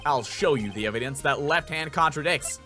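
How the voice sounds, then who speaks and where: A man's voice: fairly high pitch, expressive, a little fast, sharp tone, soft background music. A man voicing an earnest young male defense attorney who speaks in an emphatic, declarative way. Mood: assertive.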